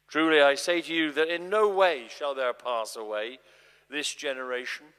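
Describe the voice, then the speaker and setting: A man performs a grave, urgent male prophet in a live scripture drama, each phrase weighty and deliberate. The voice sits a little high and moves dramatically up and down, with long reverberation from a large church around it. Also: fairly bright tone. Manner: deliberate, solemn, weighty phrasing with swelling emphasis.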